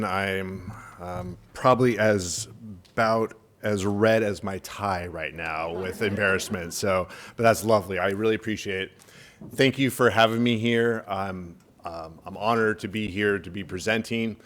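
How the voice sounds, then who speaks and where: A middle-aged man with a fairly low voice presents at a community workshop in a church hall, speaking into a microphone. He is chatty and self-deprecating, with plenty of fillers and light jokes. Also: steady tone, moderate pace, fairly bright tone. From Northern California. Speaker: estate planning lawyer, panelist. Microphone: handheld microphone.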